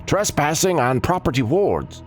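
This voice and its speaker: A man's voice: a little high, sing-song, measured pace, faint music behind, pitched above the performer's own voice. A man voicing an indignant, accusing town warden in a fantasy story. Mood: outraged. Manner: barking, accusing. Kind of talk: fantasy audiobook character.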